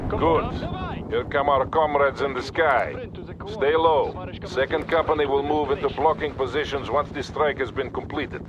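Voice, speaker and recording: An actor playing a stern, composed Soviet army colonel with a Russian accent, giving measured orders in a military video game. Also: male voice, medium pitch, fairly even intonation, dull audio, lightly acted. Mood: composed, commanding. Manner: authoritative, measured, commanding. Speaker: military commander character. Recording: battle gunfire noise behind voice. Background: gunfire, artillery and vehicles.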